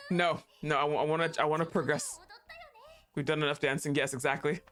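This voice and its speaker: Woman streamer with a deep voice, casual gameplay chatter, quick to laugh and curse. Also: steady tone, a bit bright, soft background music.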